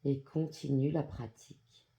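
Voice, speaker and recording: An androgynous voice guides a yoga nidra practice. The tone is extremely mellow and relaxed, and the delivery is slow and calm. Short, soothing instructions come in measured phrases, separated by generous pauses. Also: medium pitch, poor audio. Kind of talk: guided meditation, soft instructional narration.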